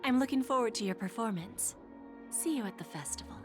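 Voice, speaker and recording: A woman voicing a poised, coolly seductive dealmaker in a video game, measured and formal. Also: fairly high pitch, animated, close to an everyday voice. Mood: smooth, probing. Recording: music under the voice.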